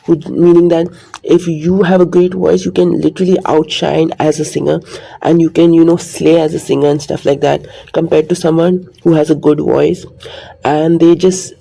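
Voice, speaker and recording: A man's voice: a little high, flat delivery, moderate pace, vocal fry, warm tone, low-quality recording. A man talking in a rambling, earnest monologue to his viewers, repeating his points. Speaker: vlogger. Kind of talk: casual monologue to camera.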